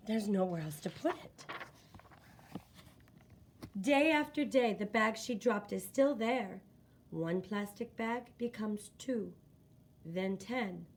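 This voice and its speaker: Female storybook reader, slightly high voice, expressive narration, deliberate dramatic phrasing. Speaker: children's book reader.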